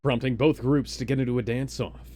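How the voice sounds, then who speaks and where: A man's voice: medium pitch, fairly even intonation, a little fast, warm tone. A man hosting a livestream, switching between dramatic comic reading and casual banter in a hammy, exclaiming manner. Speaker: livestream host.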